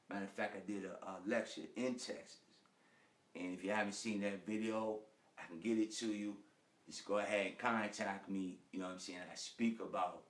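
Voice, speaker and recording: A man's voice: on the low side, distant mic. Laid-back man in an echoey room. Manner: street slang, loose and conversational, addresses viewers as family. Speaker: author and host. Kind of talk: casual monologue book review. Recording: echoey room, voice away from the mic.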